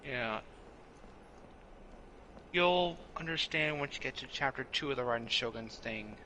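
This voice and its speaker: Bright-toned man giving quiet hints. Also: mid-range voice, animated, a little slow, a little hiss or hum. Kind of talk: casual chat.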